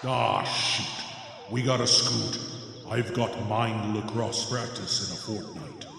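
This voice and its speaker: A man playing a slow-witted, callous young alien brother with a goofy manner in an audio horror drama. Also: on the low side, wildly swooping pitch, vocal fry, heavily acted. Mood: casual. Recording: very echoey hall, music behind.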